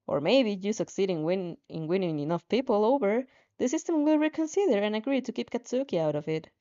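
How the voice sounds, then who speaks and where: A woman reading a story aloud dramatically in the second person, expressive and informal, sometimes tripping over her words. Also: medium pitch, fairly quick. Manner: expressive, stumbles over words and giggles at slips. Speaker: fan fiction reader and reactor. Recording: dull lo-fi sound.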